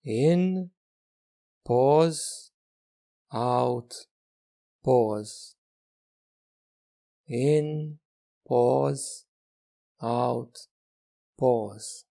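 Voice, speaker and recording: Man, meditation teacher, very slow pacing, warm relaxed tone, mid-range pitch, gentle delivery, long pauses. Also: vocal fry. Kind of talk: guided meditation.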